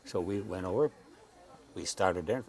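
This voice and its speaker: A man in his eighties talks slowly as a talk-show guest, his voice sitting somewhat low. He halts often and repeats words while he finds his thread. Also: a little mellow. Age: 80s. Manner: slow, halting, repeats words while searching. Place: Saipan.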